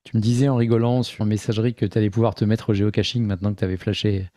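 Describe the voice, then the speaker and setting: A man's voice: lowish voice, level tone, fast talker, warm tone, no room sound. A man hosting a podcast, asking easygoing interview questions with brief affirmations. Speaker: podcast host.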